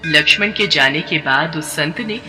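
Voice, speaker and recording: A man narrating a devotional story in a steady, expressive way. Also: a little high, normal speed. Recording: long reverb over background music.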